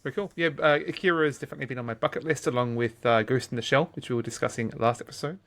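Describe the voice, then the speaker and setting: A man with a medium-pitched voice chats informally as a podcast host. He tends to hesitate and reword his thoughts mid-sentence. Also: racing, fairly bright tone. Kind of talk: casual chat.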